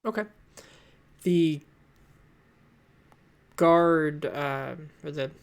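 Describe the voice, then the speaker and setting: Game master, male, gravelly and a touch high, halting narration with fillers, online call mic. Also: steady tone. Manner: hesitant narration with many fillers. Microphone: computer mic on an online voice call.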